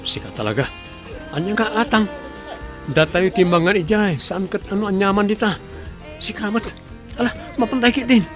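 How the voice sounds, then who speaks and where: An actor playing a devoted, affectionate young husband, sincere and reassuring, in a radio soap opera. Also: male voice, sits high, normal speed, long pauses, music in the background, natural voice. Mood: amused.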